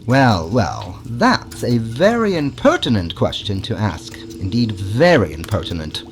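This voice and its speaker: Fussy, swooping alien mad scientist. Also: male voice, lowish voice, sing-song, unhurried, slight background noise. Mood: indignant, scolding. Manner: theatrical, fussy, scolding, dropping into conspiratorial hushes. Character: eccentric, secretive alien scientist doctor, bug-eyed and fussy. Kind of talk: audio drama character acting.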